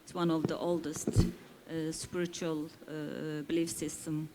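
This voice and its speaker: A woman from Turkey, a native Kurdish speaker, talking slowly and deliberately on a festival panel. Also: deep voice, flat delivery, very noisy. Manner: slow, deliberate speech with frequent pauses. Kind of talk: panel talk.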